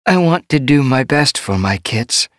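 A woman's voice: rumbling low, expressive, unhurried, no room sound, clean studio sound. A woman voicing an earnest young expectant female cat who sounds eager to please.

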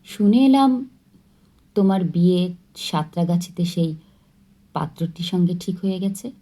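A woman's voice: fairly low pitch, a little slow, warm tone, close to an everyday voice. A woman voicing a young man, a teasing, earnest suitor in an audiobook story. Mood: hurt, earnest.

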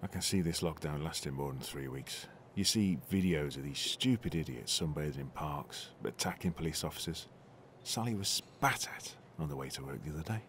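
Hospital colleague, very low bass voice, gloomy, grumbling, audio drama, slight noise. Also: male voice, animated, normal speed, hardly any pauses, a bit bright. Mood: gloomy, conversational.

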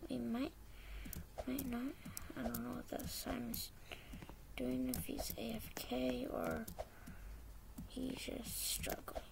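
A teenage girl talking quietly over her gameplay in a hoarse, half-whispered voice, rambling and hesitant, on a poor computer mic. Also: fairly high pitch, level tone, slow pace, pauses often, background noise. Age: teens. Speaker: gamer. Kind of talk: casual gameplay commentary. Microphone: poor-quality computer mic.

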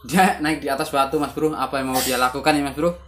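A man's voice: medium pitch, fast talker. A young man in his twenties giving casual, laid-back reaction commentary in a small room, close to a phone camera, constantly addressing his viewers as bro. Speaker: reaction video host. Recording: loud background noise.

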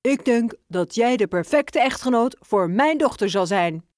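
A woman voicing a fussy father mouse in a children's storybook, squeaking between phrases. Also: animated, unhurried, darkish tone, no room sound, clean studio sound. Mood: pleased.

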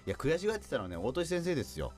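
A man hosting a radio show with lively banter and announcements. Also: a little high, rapid-fire, music in the background.

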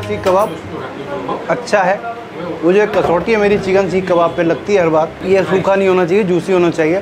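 A man's voice: high voice, flat delivery, brisk. A middle-aged man chatting enthusiastically as he tastes food on a casual vlog, speaking into a lapel mic in a small eatery. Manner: enthusiastic, chatty, tasting and commenting. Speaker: food vlogger. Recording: background music under voice.

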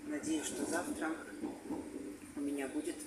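A woman in her forties talks to her viewers on a handheld phone, chatty and blunt, her voice sitting a little low. Plenty of background noise comes through the phone audio. She speaks from a cathedral, from the street and from a train seat. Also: flat delivery, a little slow. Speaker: tour guide and vlogger. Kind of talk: casual vlog narration. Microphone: handheld phone camera.

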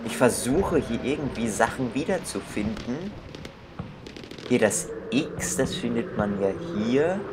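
Young man, unhurried let's play commentary, thinking aloud, background noise. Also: medium pitch. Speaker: gaming video host. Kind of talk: casual let's play commentary, reading game text aloud.

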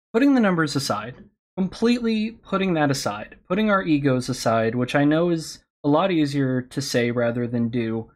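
A man who hosts a podcast, giving candid, encouraging advice on a convention panel, his voice slightly hoarse. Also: on the high side. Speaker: podcast host speaking on a panel.

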